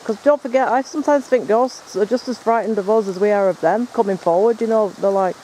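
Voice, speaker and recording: A man's voice: sits high, a little fast, warm tone, a little hiss or hum, rough recording. A man chatting casually while investigating the woods at night, rambling and explaining as he goes. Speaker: paranormal investigator filming. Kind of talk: casual on-location chat. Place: woods by a stream at night, in rain.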